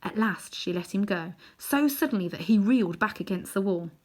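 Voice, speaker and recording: Expressive woman reading a storybook. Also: mid-range voice, moderate pace. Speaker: storybook reader. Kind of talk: reading a children's novel aloud.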